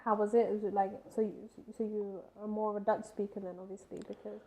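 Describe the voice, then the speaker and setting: A woman's voice: medium pitch, little pitch movement, fast talker, warm tone. A woman hosting a talk show and interviewing a guest with friendly, curious questions. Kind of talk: interview chat. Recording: tinny phone-like sound.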